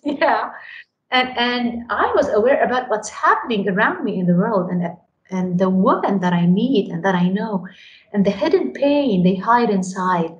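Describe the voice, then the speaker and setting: A woman's voice: medium pitch, lively intonation, moderate pace, muffled recording. A woman from Lebanon, earnestly telling her story in a podcast interview and often repeating words as she starts a sentence. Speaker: radio program director. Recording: echoey room, faint noise.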